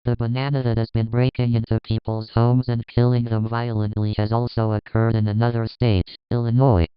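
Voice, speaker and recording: A man playing a local news reporter, reading a scripted bulletin in a measured, formal way. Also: mid-range voice, little pitch movement, smooth, dull audio, faint music behind, lightly acted. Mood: measured, serious. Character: male local TV news reporter announcing a disaster.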